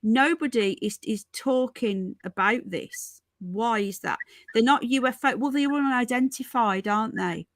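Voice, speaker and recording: A woman's voice: a little high, moderate pace, no echo, clean studio sound. Chatty woman hosting a livestream. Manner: chatty, repeats herself, calls viewers folks and darling. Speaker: livestream host. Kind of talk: casual live news chat with viewers.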